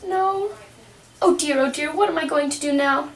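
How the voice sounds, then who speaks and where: A woman's voice: high voice, normal speed, pitched above the performer's own voice. A woman voicing a worried, polite young girl who frets and wonders aloud in a puppet show. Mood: fretful. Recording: roomy, distant home microphone.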